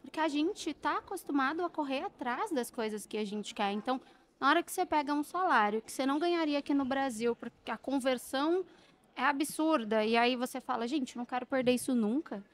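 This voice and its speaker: High-voiced, emphatic Brazilian woman. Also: fairly quick. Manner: emphatic, animated, acts out quotes. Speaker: remote career expert. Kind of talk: casual podcast interview.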